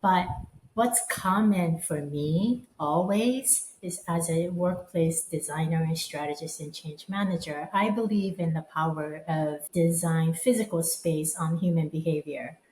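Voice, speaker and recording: A woman's voice: low voice, unhurried, darkish tone. A woman speaking as a podcast guest, reflective and thoughtful, often murmuring agreement as she listens. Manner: thoughtful, frequent affirming murmurs. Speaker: guest, design firm co-managing director. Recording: echoey room with faint background noise.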